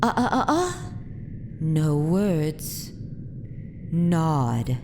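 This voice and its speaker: A woman's voice: sits low, very animated, drawn-out words, darkish tone, slight background noise, exaggerated voice. A woman playing a haughty, dominant vampire noblewoman in an ASMR fantasy roleplay, with commanding, archaic formal speech. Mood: commanding, condescending. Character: haughty, dominant aristocratic vampire mistress of a manor.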